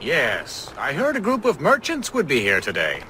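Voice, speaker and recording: Game role: chatty, courteous gentleman scientist; bright, animated delivery over background noise. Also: fairly high pitch, moderate pace, exaggerated voice. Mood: genial. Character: genial, courteous male scientist and learned gentleman. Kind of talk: dramatic video game cutscene acting.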